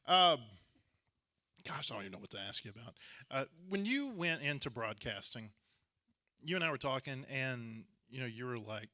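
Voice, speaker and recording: A man hosts an interview in a casual, conversational style. His voice is bright and forceful at an average male pitch, and he slips informally into anecdotes between questions. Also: lively intonation, normal speed. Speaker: host and sports journalist interviewing a guest.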